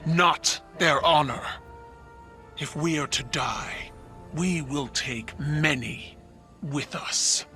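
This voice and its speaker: An actor playing a proud, imperious alien war leader in a video game, speaking in a deliberate, haughty way. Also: male voice, fairly high pitch, pushed and bright, exaggerated voice. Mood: defiant, threatening. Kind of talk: dramatic cinematic game dialogue. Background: background music.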